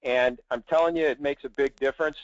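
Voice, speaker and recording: A middle-aged man with a medium-pitched voice talks through career advice like a recruiter leading a webinar. He is emphatic and persuasive but conversational. The sound is dry and somewhat dull, with the top end cut. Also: steady tone, brisk, no room sound. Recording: dull, band-limited audio.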